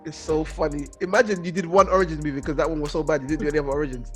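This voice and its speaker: A man's voice: a little high, fairly even intonation, very fast, over music. A British man co-hosting a podcast, giving emphatic, opinionated takes in casual group chat. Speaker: podcast co-host. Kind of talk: casual group debate about films.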